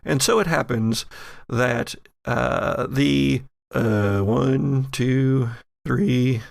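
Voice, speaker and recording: A man runs a tabletop horror game as its game master. His voice is mid-pitched and full of gravelly creak. The sound is close and dry. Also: very slow, dry recording. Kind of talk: narrating a tabletop role-playing game.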